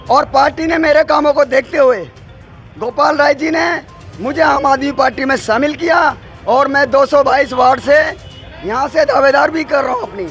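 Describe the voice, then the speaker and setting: A hoarse man in his forties, a ward candidate speaking insistently and repeating himself in a street interview. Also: very high-pitched, fairly bright tone. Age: 40s.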